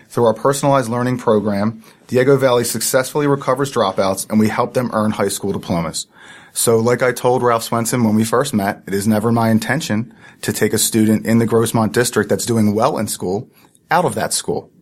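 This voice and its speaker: A man who is a charter school principal, speaking as an interview guest with eager, earnest advocacy. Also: fairly low pitch.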